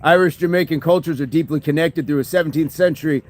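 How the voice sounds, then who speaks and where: Guy hosting a fitness livestream, kinda high voice, chatty, laughs a lot, reads out comments. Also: fairly even intonation, fast, a little mellow. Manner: chatty, laughs often, thanks viewers by name. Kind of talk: casual livestream chat reading comments.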